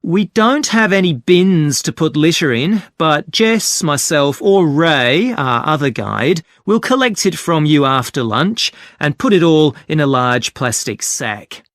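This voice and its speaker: A cheerful male tour guide with a fairly high voice. He takes his time and addresses his passengers clearly and in a friendly way, as in a scripted listening exercise. The sound is dry and close. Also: lively intonation, a little slow, hardly any pauses, fairly bright tone, no room sound. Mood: cheerful, welcoming. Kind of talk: scripted exam listening talk.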